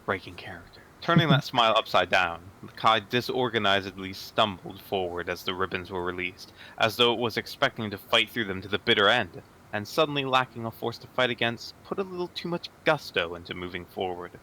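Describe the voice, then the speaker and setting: Bright, forceful male narrator, slightly low. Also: lively intonation, brisk, few pauses, a little hiss or hum, rough recording. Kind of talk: dramatic scripted narration.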